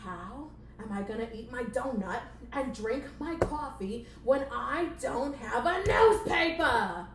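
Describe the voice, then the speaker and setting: A woman's voice: on the low side, far from the mic, pitched below the performer's own voice, close to an everyday voice. A woman voicing a grumpy, harsh male boss in a puppet show, grumbling and bossy. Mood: furious. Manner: muttering grumbles, slow drawn-out bellowed demands. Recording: roomy echo, background noise.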